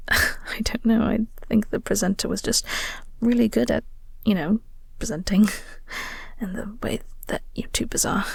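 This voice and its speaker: Woman acting caring flirty coworker, audio roleplay, very breathy, creaky edges, gentle, unhurried, reassuring. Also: mid-range voice, fairly even intonation, no echo, exaggerated voice. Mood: amused. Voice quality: soft, breathy, whispery.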